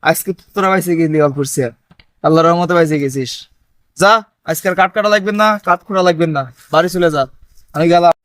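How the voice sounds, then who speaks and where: A man's voice: a little high, fairly quick, fairly bright tone, no room sound, natural voice. A man playing a village elder brother, cautioning and scolding in rustic dialect.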